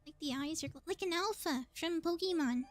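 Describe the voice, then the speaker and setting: A woman with a high-pitched voice talks through a video game on a live stream. She is chatty and informal, thinking aloud in a casual commentary style. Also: fairly quick, no room sound.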